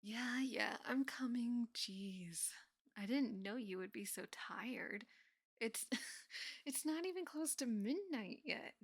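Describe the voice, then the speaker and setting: Soft, unhurried young woman, acted, slightly high. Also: expressive, slightly rough, no echo, clean studio sound, heavily acted. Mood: teasing, sleepy. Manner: soft, often dropping into whispers, sighing. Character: young woman college student, teasing, affectionate best friend. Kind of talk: intimate roleplay audio acting, casual and soft.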